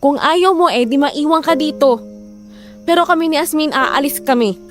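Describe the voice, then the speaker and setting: A woman's voice: high voice, normal speed, faint music behind, natural voice. A woman acting as a weary, frustrated wife and mother in hard times, emotional and reproachful, in an audio drama. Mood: bitter, resolute. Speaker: voice actor.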